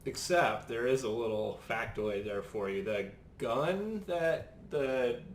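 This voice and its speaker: A man with an average-pitched voice chats on a film podcast. He is matter-of-fact and drops in bits of trivia. The room sounds echoey, with faint noise. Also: lively intonation, takes it slowly. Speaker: podcast panelist. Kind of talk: casual film discussion.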